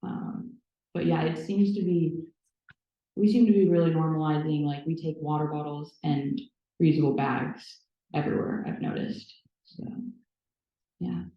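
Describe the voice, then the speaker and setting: Low-voiced young woman in an echoey hall. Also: steady tone, a little slow. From Nebraska. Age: young adult. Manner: thoughtful, filler-heavy, with a dry sarcastic edge. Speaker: panelist. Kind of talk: panel discussion. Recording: echoey room, dull sound.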